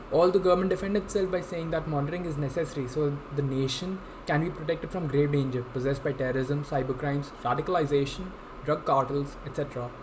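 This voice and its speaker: Young Indian-accented man, higher-pitched, noisy recording. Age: young adult. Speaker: legal intern presenting. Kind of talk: scripted explainer talk. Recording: clearly noisy amateur room recording.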